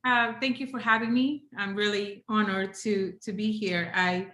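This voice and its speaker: A woman's voice: medium pitch, fairly even intonation, moderate pace, bright, forceful tone. A woman from Ecuador, a native Spanish speaker with an Ecuadorian accent, telling her story conversationally and animatedly as a podcast guest. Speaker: podcast guest, hotel housekeeping director. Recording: roomy, echoey sound.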